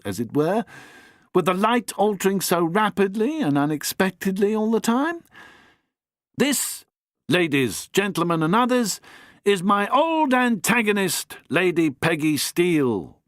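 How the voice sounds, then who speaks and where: Reader voicing a clever genial gentleman, wry, lively, dry sound. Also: high-pitched, a little slow, no echo, voice pushed up.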